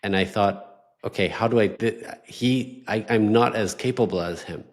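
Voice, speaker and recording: A man raised in Ecuador hosting a podcast interview, talking in a halting way and often repeating words. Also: fairly low pitch. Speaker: podcast host. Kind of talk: casual interview talk.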